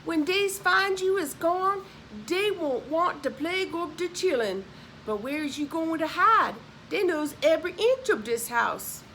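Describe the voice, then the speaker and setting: A woman's voice: very high-pitched, lively intonation, a little slow, a little hiss or hum, voice pushed up, full character voice, rough recording. A woman voicing a faithful, anxious servant woman in an audiobook, with a Southern US dialect and an urgent, pleading manner. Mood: worried warning. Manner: urgent, pleading, hushed warning. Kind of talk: audiobook character voice.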